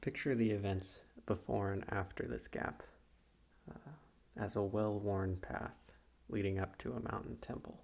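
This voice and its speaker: A man playing a calm, probing psychiatrist in a soft-spoken ASMR roleplay, with a slow, measured, quietly condescending manner and a soft, gravelly voice. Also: on the low side. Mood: hypnotic, probing. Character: calm, probing male psychiatrist leading a hypnotic guided visualization.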